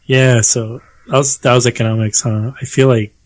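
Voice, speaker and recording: A young man with a mid-pitched, everyday voice co-hosting a podcast from home over a call. He rambles loosely, reaching for words and filling gaps with "like," and swears casually. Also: steady tone, moderate pace, no echo. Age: young adult. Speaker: podcast co-host. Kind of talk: casual chat.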